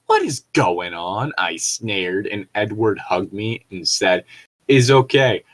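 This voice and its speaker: A man's voice: lowish voice, expressive, takes it slowly. A man co-hosting a casual fanfiction read-aloud, tossing in wry, joking asides. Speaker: co-host reading fanfiction aloud.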